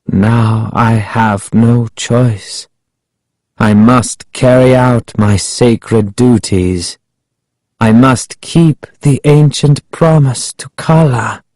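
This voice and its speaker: A man voicing a menacing, fanatical guardian of an ancient curse in an audiobook, proclaiming solemnly and deliberately. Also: mid-range voice, a little mellow, dry recording. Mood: grave. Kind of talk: audiobook character acting.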